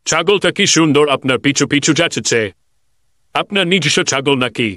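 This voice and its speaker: A man's voice: a little high, moderate pace, a bit bright, close to an everyday voice. A man voicing a curious, friendly villager who haggles as a buyer in a comedy cartoon, inquisitive and coaxing.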